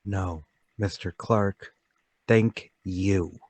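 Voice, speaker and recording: A man playing an earnest, troubled but determined accused man in a radio play table read, speaking deliberately. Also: sits low, steady tone, a little mellow, full character voice. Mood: quietly triumphant.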